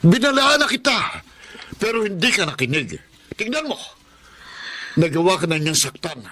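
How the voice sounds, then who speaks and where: A man's voice: sits high, sing-song, moderate pace, sharp tone, exaggerated voice. A man with a Filipino accent playing a protective, exasperated older father who scolds emphatically in a radio drama. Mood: indignant.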